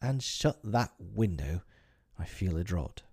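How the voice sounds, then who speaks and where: A pompous, sentimental father, voiced by a male narrator in a storybook reading, grand and self-pitying in his delivery. The voice stays low and gravelly, in a dry, close recording. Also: big pitch swings, slow pace, darkish tone, dry recording, full character voice. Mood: drowsy. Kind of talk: audiobook character voice.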